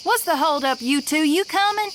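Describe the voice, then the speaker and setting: A woman's voice: sits high, animated, moderate pace. A woman playing a brash, breezy seasoned expedition camper in an audio drama, blunt and teasing. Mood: impatient. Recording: insect-filled jungle noise behind.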